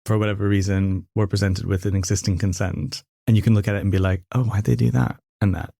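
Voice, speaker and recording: A man hosts a podcast in a low, gravelly voice with a mellow tone. He talks to his guest in a friendly, conversational way. The sound is dry and close. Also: fairly even intonation, fast, no room sound. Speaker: podcast host. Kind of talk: casual interview hosting.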